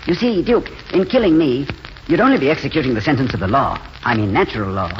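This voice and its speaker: An actor playing a courteous, wistful, idealistic drifter in a 1940s radio drama, speaking in an eloquent, genteel way. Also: male voice, mid-range voice, animated, fast, lightly acted. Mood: wistful, intense. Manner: eloquent, genteel, hesitant openings. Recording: dull, faintly noisy 1940s radio recording.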